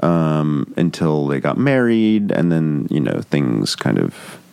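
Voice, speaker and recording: This is an American man with a deep voice, captured dry and close to the mic. He talks casually as a guest being interviewed, in an understated, halting way. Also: sing-song, vocal fry, dark tone, clear, resonant tone, dry recording. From Cleveland, Ohio, United States. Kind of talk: casual conversation.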